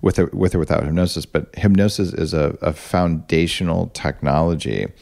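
Deep gravelly man hosting an interview. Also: brisk, darkish tone. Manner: laid-back, chatty, joking. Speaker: podcast host. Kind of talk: casual interview chat.

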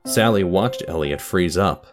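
A man's voice: low-pitched, measured pace, over music. A man reading scripted narration for an audio drama, telling a story in a dramatic style.

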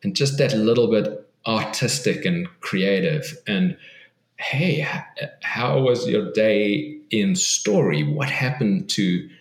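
A man's voice: on the low side, animated, slow, reverberant, rough recording. A man, a guest author on an interview podcast, speaks thoughtfully and earnestly, often restarting and repeating words.

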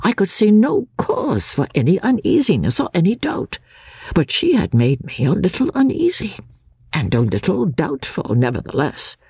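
A woman voicing an elderly gentleman lawyer who narrates a classic novel in a formal, deliberate, self-assured manner. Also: on the low side, wildly swooping pitch, slightly rough, dry recording, clean studio sound, voice pushed up, heavily acted. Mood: reflective. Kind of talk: first-person literary narration.

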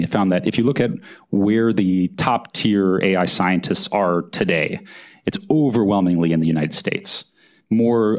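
A man, an author and journalist, answering questions thoughtfully at a live on-stage interview. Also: low-pitched. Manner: thoughtful, measured, hedging with "kind of". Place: event hall with live audience.

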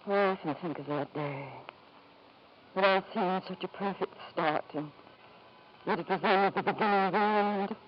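A woman's voice: mid-range voice, moderate pace, long pauses, lightly acted. An actress playing a grieving, hard-drinking middle-aged mother in an old-time radio drama, bitter, weary and theatrical. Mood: bitter, wistful. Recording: dull, noisy 1940s-50s radio recording.

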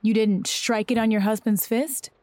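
A woman's voice: fairly high pitch, fairly even intonation, normal speed, darkish tone, no echo, lightly acted. An actress in a 1940s radio drama playing a female district attorney who questions a witness with pointed persistence. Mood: accusing.

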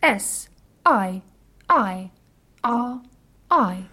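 A woman's voice: on the high side, lively intonation, extremely slow, sharp tone, slightly rough, exaggerated voice. A woman playing a touchy, literal-minded female artificial intelligence in an audio comedy drama, speaking with formal, curt precision. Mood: pedantic.

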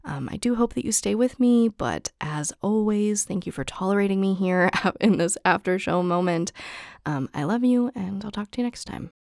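Hoarse, raspy young woman podcaster. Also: medium pitch, expressive, moderate pace, dry recording, clean studio sound. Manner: chatty, frequent ums and likes, laughs softly. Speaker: podcast host. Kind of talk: after-show update to listeners.

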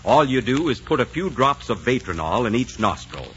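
A man's voice: medium pitch, sing-song, normal speed, fairly bright tone. A man announcing in the polished, friendly scripted style of a 1940s radio commercial. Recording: dull, noisy 1940s radio recording.

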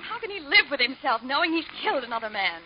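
An actress playing an editor's partner who speaks earnestly and with moral feeling, in 1940s radio acting style on an old radio broadcast. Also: female voice, sits high, very animated, fast talker, highs cut off, a little hiss or hum.

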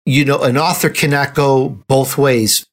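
A man's voice: mid-range voice, fairly even intonation, a little slow. A man hosting a conversational interview, talking as an author among fellow writers. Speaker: interview host and nonfiction author.

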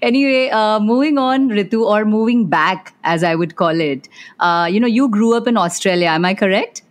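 A woman's voice: medium pitch, moderate pace. A woman hosting a podcast interview, asking questions and responding with encouraging short acknowledgements. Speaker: podcast host. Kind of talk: interview host.

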